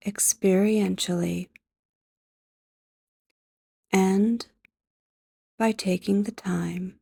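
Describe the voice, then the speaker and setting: Female sleep hypnosis guide: mellow, creaky low-energy delivery, soft and breathy, gentle, measured, with long pauses. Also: no echo, studio quality. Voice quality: soft, breathy, often near a whisper.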